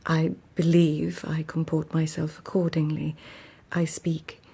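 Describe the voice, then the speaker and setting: Husky, low-voiced court lady. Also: completely flat delivery, mellow, full character voice. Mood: deferential, careful. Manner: formal, measured, carefully polite speech. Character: proud, witty Japanese court lady, deferential yet flustered. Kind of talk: radio drama acting with first-person narration.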